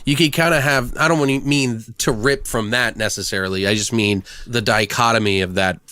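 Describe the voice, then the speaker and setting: A man's voice: medium pitch, steady tone, brisk, pushed and bright, no room sound, studio quality. A man hosting a podcast in casual, brainstorming chat, informal and prone to swearing. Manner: profane, informal. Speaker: podcast host.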